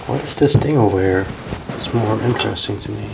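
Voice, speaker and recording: A man's voice: fairly low pitch, brisk, creaky, heavy background noise. A young man casually narrating a walk through an abandoned house, curious and pointing things out as he goes. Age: young adult. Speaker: urban explorer.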